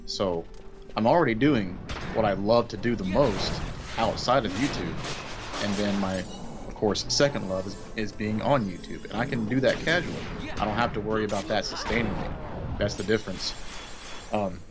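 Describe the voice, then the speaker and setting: A man's voice: lowish voice, moderate pace. A man chatting casually while playing a co-op game, easygoing and chatty with lots of fillers. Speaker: co-op gaming guest. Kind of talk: casual chat over gameplay. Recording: music playing behind.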